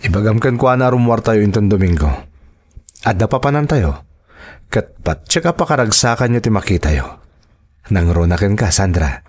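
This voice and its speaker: A man's voice: on the low side, animated, normal speed, long pauses, darkish tone. A man playing a devoted, reassuring husband and father in an Ilocano drama, speaking earnestly. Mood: affectionate, playful. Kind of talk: Ilocano radio-style drama acting.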